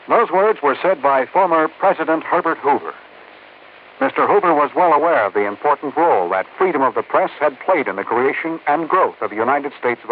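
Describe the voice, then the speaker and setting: A man's voice: on the high side, narrow, tinny audio. A man announcing a formal public-service message on an old radio show. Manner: formal and declaiming. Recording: thin hissy old radio broadcast.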